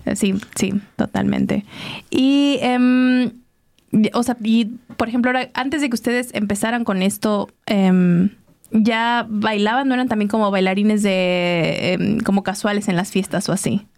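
A woman's voice: mid-range voice, fairly even intonation, measured pace, a little mellow. A woman hosting a conversational radio interview in an enthusiastic, affirming manner.

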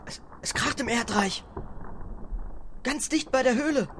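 A woman's voice: fairly high pitch, measured pace, background noise, natural voice. A woman playing a naive, curious little male dwarf in a children's radio play, eager and prone to stammer in wonder. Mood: frightened.

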